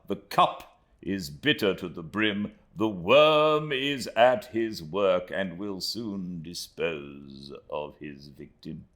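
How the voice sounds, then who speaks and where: A man voicing a pompous, florid, self-pitying middle-aged gentleman, reading out a letter with grand swells and theatrical drops in his voice. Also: sits low.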